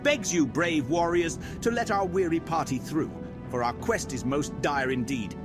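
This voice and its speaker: A man's voice: on the high side, normal speed, lightly acted. A man voicing a pompous, flowery male merchant who speaks of himself in the third person, over music. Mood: flattering.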